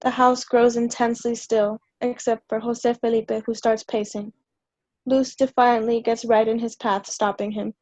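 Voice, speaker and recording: A woman's voice: on the high side, flat delivery, normal speed, a little mellow, no room sound. A woman giving scripted narration, reading out the stage directions of a play.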